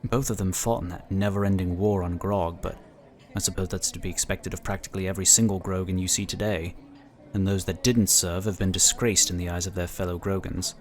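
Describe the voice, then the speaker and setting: An actor playing an amiable, knowledgeable psionic inquisitor who explains things in a talkative, encouraging way in an audio drama. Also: male voice, low voice, steady tone, fairly quick, lightly acted. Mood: friendly.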